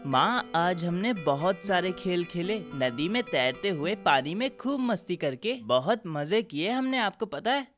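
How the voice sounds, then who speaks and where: A woman's voice: lowish voice, expressive, unhurried, few pauses, faint music behind. Woman playing a bossy young boy bird. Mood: chatty. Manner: scolding, teasing, chatty. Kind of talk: children's animated moral story.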